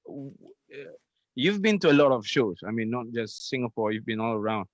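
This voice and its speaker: Host, man, mid-pitched, dry close sound, easy, chuckly. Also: fairly quick, no room sound. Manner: relaxed, laughing interjections, reads viewer questions. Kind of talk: casual interview chat.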